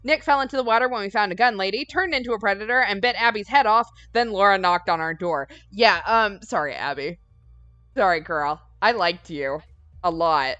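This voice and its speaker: A woman's voice: mid-range voice, normal speed, a bit bright, dry recording, lo-fi sound. A woman co-hosting a gaming stream, casually chatting and recapping the story with easygoing wry humor. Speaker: let's play co-host.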